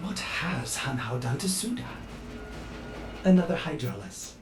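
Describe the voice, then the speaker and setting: A man's voice: on the high side, animated, measured pace. An actor playing an anxious, loyal male ship AI servant in a video game, polite, formal and earnest. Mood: excited, hopeful. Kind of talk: dramatic video game voice acting. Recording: game music behind the voice.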